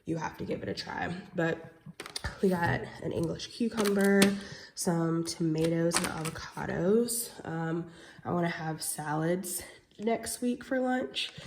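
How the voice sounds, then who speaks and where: A woman's voice: on the low side, a little mellow, a little hiss or hum. A young woman vlogging casually, chatty with lots of "um" and "like", sounding congested and nasal from a cold. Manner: chatty, many fillers like "um" and "like". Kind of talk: casual vlog chat.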